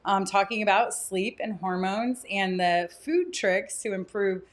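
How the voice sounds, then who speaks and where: A woman's voice: medium pitch, a little slow. A woman introducing herself as a nutritionist on a panel in a conversational style. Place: inside a wellness center.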